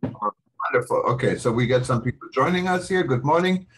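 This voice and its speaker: A man's voice: mid-range voice, animated, brisk, a little hiss or hum, poor audio. Hesitant, apologetic male livestream host. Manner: hesitant, apologetic, many fillers. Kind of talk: livestream hosting.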